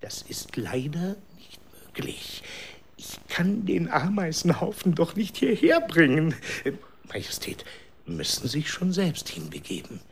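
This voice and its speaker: Swooping, fawning court physician. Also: male voice, a little high, sing-song, a little slow, creaky, a little hiss or hum, full character voice. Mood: nervous, evasive. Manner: pompous, fawning, wheedling. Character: obsequious, sly royal court physician. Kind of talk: children's radio drama acting.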